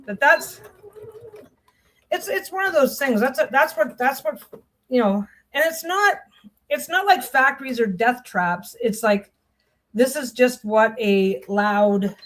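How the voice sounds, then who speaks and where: A woman's voice: on the high side, animated, takes it slowly, a bit bright. A middle-aged woman talking casually while she sews, telling a long meandering story with many restarts and distracted asides. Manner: chatty, meandering storytelling with frequent restarts and self-interruptions; distracted asides about her sewing. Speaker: vlogger sewing on camera. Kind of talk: rambling casual chat while sewing.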